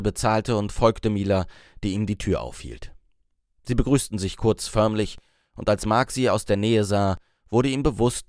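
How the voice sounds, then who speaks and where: A man's voice: fairly low pitch, no echo, studio quality. A man reading a scripted story as an audiobook narrator.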